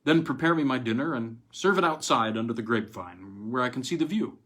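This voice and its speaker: A man's voice: mid-range voice, animated, a little fast, a bit bright. A man reading a story voices a curious, wry young American villa buyer who questions and argues. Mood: questioning.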